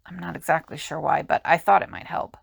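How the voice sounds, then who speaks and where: A woman playing an earnest, candid young visionary in an audio drama, with a halting, confiding delivery. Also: sits low, fairly even intonation, gravelly, breathy edge, dry recording, full character voice. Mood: reflective. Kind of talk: amateur audio drama acting.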